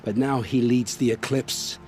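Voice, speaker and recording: An actor voicing a haunted, grim male scavenger who tells dark stories with intensity, in a video game. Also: mid-range voice, steady tone, a little slow, faint music behind, natural voice. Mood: hushed dread. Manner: intense, dramatic storytelling.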